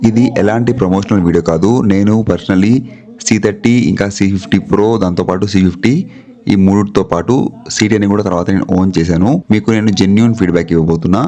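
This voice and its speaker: A man's voice: deep voice, fairly even intonation, fast talker, mellow. A man with a Telugu accent narrating a product review vlog, speaking directly and mixing English terms into his talk. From India. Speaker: vlogger.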